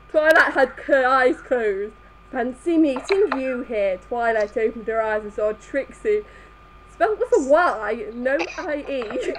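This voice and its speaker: A woman's voice: sits high, slight background noise, poor audio. A woman reading fan fiction aloud live, stumbling over the text as she goes. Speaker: reader in a group comedy reading.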